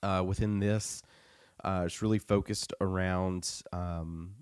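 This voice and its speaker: Very low, smooth man getting over flu. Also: steady tone, takes it slowly, darkish tone, dry recording, clean studio sound. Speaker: podcast reviewer. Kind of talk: casual review.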